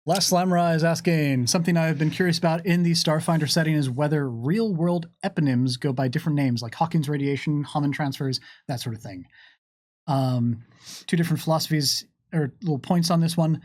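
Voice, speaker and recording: A man talking casually and without a script as a game developer on a Q&A stream, wry and wordy, with deliberate phrasing. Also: a little high, darkish tone. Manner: wry, joking asides. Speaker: game developer answering audience questions on a live stream. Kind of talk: casual unscripted Q&A talk, reading out questions.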